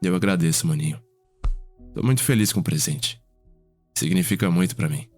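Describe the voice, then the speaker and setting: A gentle, refined vampire nobleman played by a man with a low voice. He talks in a measured, formal way in an ASMR roleplay, over faint music. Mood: fond, amused. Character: genial, refined elder vampire brother, wistful nobleman. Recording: music behind the voice.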